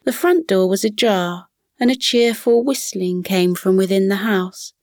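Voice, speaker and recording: A woman reading a scripted children's bedtime story as narrator, in a soothing, measured manner. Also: medium pitch, darkish tone, no room sound, clean studio sound.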